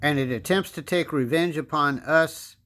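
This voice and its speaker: A man's voice: a little high, moderate pace, a bit bright. Emphatic American man in his fifties. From United States. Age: 50s. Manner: emphatic, lecturing, repeats phrases for stress. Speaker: political commentator. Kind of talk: opinion monologue.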